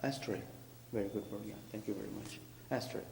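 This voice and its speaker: A man's voice: on the low side, steady tone, fairly quick, vocal fry, darkish tone. Male preacher, explanatory and questioning, in an echoey reverberant hall. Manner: explains terms, asks the congregation. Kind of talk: sermon commentary. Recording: echoey hall with faint hum.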